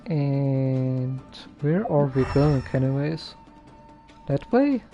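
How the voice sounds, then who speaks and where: A man giving casual live gameplay commentary, halting and muttering to himself as he plays. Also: medium pitch, lively intonation, dark tone, highs cut off. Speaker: game streamer. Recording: faint game music underneath.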